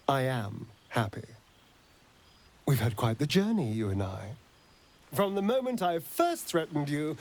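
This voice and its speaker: Sardonic vampire rogue, high-pitched, swooping intonation, arch, tender streak, game character. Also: male voice, a little mellow, exaggerated voice. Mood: reflective, resolute, then fond. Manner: arch, drawling, theatrical. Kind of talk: theatrical video game character acting.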